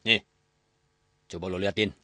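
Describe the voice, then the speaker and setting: A man doing a gruff gang boss. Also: sits low, fairly even intonation, a little slow, bright, forceful tone, no echo, studio quality, exaggerated voice. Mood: bossy. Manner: bossy, swaggering. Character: gruff male gang boss, scheming robber. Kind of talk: radio drama storytelling.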